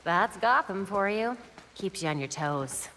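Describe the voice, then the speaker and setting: An actress voicing a quick-witted, determined young woman vigilante in a video game, wry and teasing. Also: mid-range voice, lively intonation, fairly bright tone. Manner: wry, teasing, then resolute. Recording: noisy game cutscene audio with long reverb.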